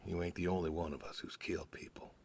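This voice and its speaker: A man's voice: low-pitched, steady tone, fast talker, vocal fry, a little mellow, slight background noise. A man playing a stoic, weary older pig farmer and war veteran in a game, laconic and folksy. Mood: reflective, subdued. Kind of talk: naturalistic video game dialogue.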